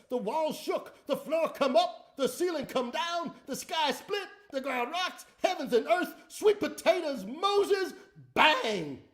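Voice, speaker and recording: A man playing an excitable rustic tale-spinner with a rural Southern American accent, in a theatrical, folksy comic recitation. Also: very high-pitched, voice pushed up, heavily acted. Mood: frenzied exuberance. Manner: folksy, exuberant, building declamation.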